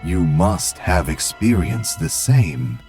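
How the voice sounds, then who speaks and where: Monk master, played by a man: low, unhurried, otherworldly and formal, music faintly behind. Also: heavily acted. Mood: serene, cryptic. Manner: calm, measured, formal. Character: serene, cryptic monk master, otherworldly and changed. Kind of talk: fan-dub game character acting.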